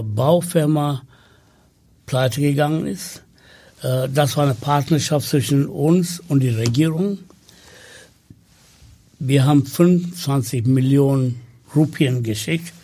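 Slow, gravelly man. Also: medium pitch, mellow. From Sri Lanka. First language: Tamil. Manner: slow and hesitant with many fillers. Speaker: podcast guest. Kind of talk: conversational interview.

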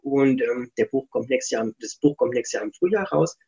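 A middle-aged man chats casually in an interview, thoughtful and self-deprecating. His voice sits at an ordinary pitch. The recording is a little dull and lo-fi. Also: animated, moderate pace, a little mellow. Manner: chatty, reflective, self-deprecating. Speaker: author interview guest.

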